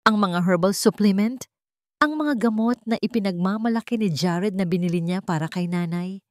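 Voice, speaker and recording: A woman's voice: mid-range voice, normal speed, no echo, clean studio sound. A woman reading a scripted dramatic story as an audiobook narrator.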